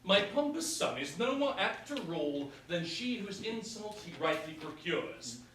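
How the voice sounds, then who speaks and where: A man's voice: high-pitched, big pitch swings, a little slow, a bit bright, full character voice. A man playing a scheming, judgmental king and father in a staged verse comedy, with stately, declamatory delivery. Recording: echoey room, far mic, faint noise.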